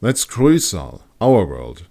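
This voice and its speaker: A man's voice: fairly low pitch, huge pitch swings, slow, creaky, mellow, full character voice. A man playing a well-read, plain-spoken male ranger in a fantasy audiobook, explaining matter-of-factly.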